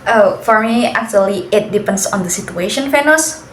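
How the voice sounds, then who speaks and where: A young Indonesian woman talks in English in a casual student interview, pausing to search for words and laughing softly now and then. Her voice sits at a middle pitch. The room rings with echo, and a faint hiss lies underneath. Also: expressive. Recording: echoey room, faint background noise.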